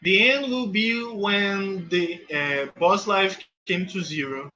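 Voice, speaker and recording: Portuguese-accented guy narrating his speedrun, slow and halting, bright sharp tone. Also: high voice, dull audio. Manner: halting, restarts phrases, self-mocking. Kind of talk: live gameplay commentary.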